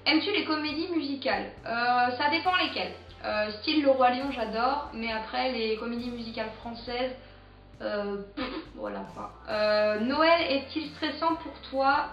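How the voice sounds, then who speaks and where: Young French woman, casual vlogger, halting and chatty; muffled, lo-fi take with faint music behind. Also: fairly high pitch, fairly bright tone. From France. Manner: chatty, many hesitant "euh" fillers. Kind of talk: casual Q&A tag video. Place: home in England. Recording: roomy, distant, dull sound with music.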